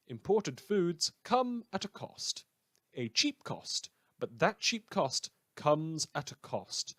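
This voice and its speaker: A man's voice: medium pitch, no echo, studio quality. A man playing a sardonic, rambling radio news host, delivering satirical scripted news with wry, theatrical asides. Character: sardonic, rambling madman community radio newscaster in fiction.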